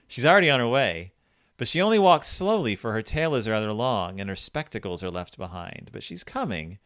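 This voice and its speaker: A man's voice: fairly low pitch, animated, fairly quick, a bit bright, clean tone, no echo, clean studio sound. Man reading as a taunting fairy-tale girl. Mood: ominous, taunting.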